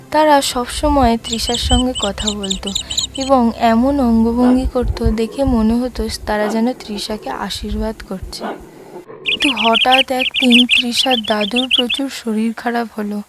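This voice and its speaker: Female storyteller for scripted cartoon narration, higher register, light music underneath.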